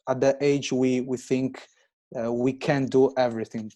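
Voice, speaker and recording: A man in his twenties who grew up in Italy, speaking slow, halting non-native English as a guest with many pauses and restarts. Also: mid-range voice, flat delivery, dark tone. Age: 20s. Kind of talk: casual interview chat.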